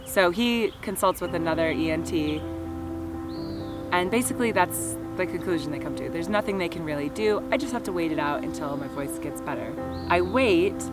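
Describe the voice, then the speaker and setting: A young woman telling a personal story to camera in a casual, rambling way, full of "like" and "just". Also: lowish voice. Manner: casual, filler-heavy, lots of "like" and "just". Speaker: vlogger. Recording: loud background music under the voice.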